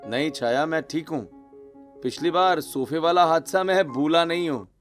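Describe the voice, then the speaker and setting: A wry young bridegroom, played by a male narrator, who teases and retorts in a cartoon story. The voice is somewhat high, with music behind. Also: moderate pace, natural voice. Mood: wary. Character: wry young bridegroom, teasing older brother. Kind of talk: Hindi cartoon story acting.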